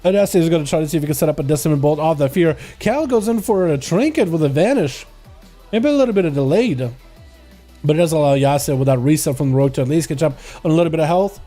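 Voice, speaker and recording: A young man with a slight Russian accent, casting live game duels with fast, excitable play-by-play. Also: on the high side, soft background music. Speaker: streamer and game commentator. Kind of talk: live esports commentary.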